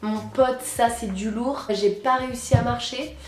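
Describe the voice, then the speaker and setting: A young woman, hoarse from a cold, chatting casually and slangily to camera as an enthusiastic vlogger. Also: fairly high pitch, fairly even intonation, measured pace. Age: teens to young adult, high school student. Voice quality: hoarse, husky. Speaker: sneaker vlogger presenting purchases. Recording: roomy echo, music behind.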